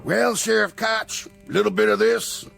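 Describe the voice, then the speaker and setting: A sleazy Old West peddler, high-pitched and heavily creaky. He drawls his taunts slowly and theatrically, gloating and mocking like a born tormentor in a vintage TV western. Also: fairly even intonation, fairly bright tone, soft background music, exaggerated voice. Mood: cruel mockery. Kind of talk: television western drama acting.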